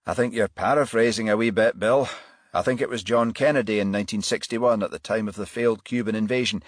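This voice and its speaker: A man voicing a calm, affable male detective inspector with an attentive, economical manner in an audiobook. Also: on the low side, fairly quick, dry recording, clean studio sound, close to an everyday voice. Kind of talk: audiobook character voice.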